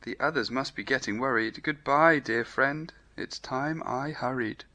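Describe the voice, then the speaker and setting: A man voicing an earnest, dreamy storybook rabbit who recites rhyming verse in a measured lilt. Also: medium pitch, sharp tone. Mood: polite.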